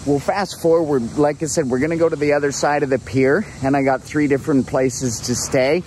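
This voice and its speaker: A man's voice: on the high side, fairly even intonation, brisk, a little mellow, slight background noise. A man in his forties giving a chatty, upbeat walking tour of a tropical beach, filmed at arm's length outdoors. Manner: upbeat, chatty, repeats words. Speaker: travel vlogger. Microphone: selfie camera at arm's length.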